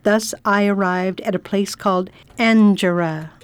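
A woman's voice: mid-range voice, fairly even intonation, measured pace, clear, resonant tone, no echo, close to an everyday voice. A woman playing a genteel, inquisitive lady traveller who acts and narrates in a radio drama. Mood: reflective narrating. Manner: polite, inquisitive, exclamatory. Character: genteel, curious well-off English lady traveller. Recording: faint background noise, ambience.

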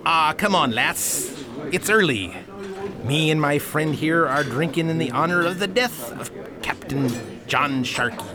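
An actor playing a jovial, boozy old sailor spinning tales in a radio drama tavern. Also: male voice, on the high side, lively intonation, unhurried, crowd noise. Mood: jovial, cajoling. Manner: cajoling, salty sailor talk.

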